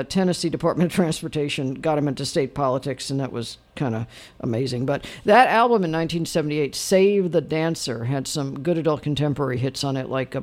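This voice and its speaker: A male radio host with a notably high voice. He keeps things relaxed on the call-in line and laughs easily along with the people he talks to. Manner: easygoing, laughs along with callers.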